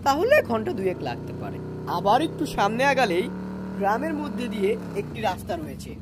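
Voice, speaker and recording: Woman playing a lively, helpful local guy. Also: mid-range voice, fairly quick, background noise, close to an everyday voice. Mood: matter-of-fact. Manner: informative, plain. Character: helpful, matter-of-fact male local passerby and biker. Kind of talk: cartoon voice acting.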